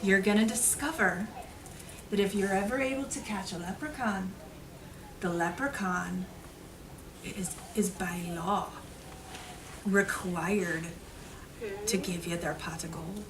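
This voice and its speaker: A woman's voice: medium pitch, takes it slowly, pauses often, crowd noise. A woman telling stories to young children at an elementary school, explaining in simple, repeated phrases with dramatic emphasis. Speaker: elementary school read-aloud storyteller. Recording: distant mic, noisy room.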